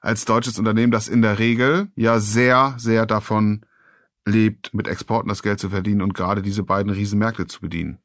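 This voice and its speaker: A man with a fairly low voice hosts a conversational podcast. He asks follow-up questions and restates points to be sure he has them right. The close mic gives a dry studio sound. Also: steady tone, normal speed, a bit bright, no room sound. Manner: curious, sums up and asks follow-ups. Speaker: podcast host.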